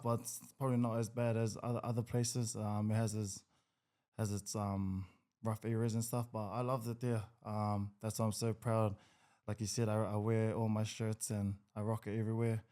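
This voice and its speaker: A man in his twenties with a New Zealand accent, a podcast guest who talks in an easygoing, hesitant way with many pauses. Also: on the low side, level tone, a little mellow. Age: 20s. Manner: easygoing, hesitant with many ums, laughs a lot. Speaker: guest, rugby league player. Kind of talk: casual podcast interview.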